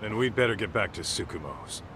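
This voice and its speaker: An actor playing a rough, foul-mouthed, streetwise sidekick in a video game dub, talking bluntly. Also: male voice, lowish voice, lively intonation, a little fast, background noise, lightly acted. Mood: gruff, irritated. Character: rough, foul-mouthed male tough guy, detective's loyal sidekick.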